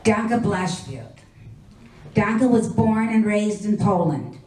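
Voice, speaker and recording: A woman presenting a ceremony, calling people up in a measured way with short introductions. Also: mid-range voice, expressive, darkish tone. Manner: measured, announcing names and short bios. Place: hall at a memorial ceremony. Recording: echoey hall, mic at a distance, faint ambience.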